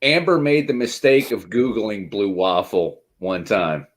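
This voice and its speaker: A man's voice: lowish voice, unhurried. A man chatting casually as a panelist. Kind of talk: casual chat.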